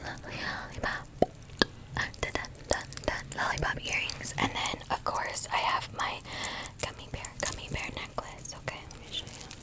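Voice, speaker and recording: Female ASMR host, breathy whisper, unhurried, playful rhythmic repetition. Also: rough recording. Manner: playful, repeats words and phrases rhythmically. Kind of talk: ASMR, whispered chat to viewers.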